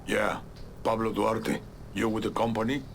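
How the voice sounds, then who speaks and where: An actor playing a bitter, hard-bitten Cuban exile informant in a video game, gravelly and scornful, with a Cuban accent. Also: male voice, on the low side, level tone, normal speed, a little hiss or hum, close to an everyday voice. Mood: bitter, wary. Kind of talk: video game cutscene acting.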